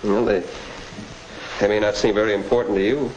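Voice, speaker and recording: Actor as a low-voiced earnest young 1950s husband. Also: male voice, fairly even intonation, a little mellow, close to an everyday voice. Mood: hurt, hesitant. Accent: American. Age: 20s. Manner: earnest, halting. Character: sincere, proud young husband, hesitant and sensitive. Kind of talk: 1950s educational film acting. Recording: muffled, noisy vintage 1950s film soundtrack.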